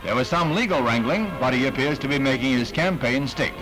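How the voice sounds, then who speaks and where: Animated American newsreel announcer, music behind. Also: male voice, medium pitch, brisk, rough recording. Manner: punchy, wry announcer delivery. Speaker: newsreel narrator. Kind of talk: 1960s newsreel narration, scripted.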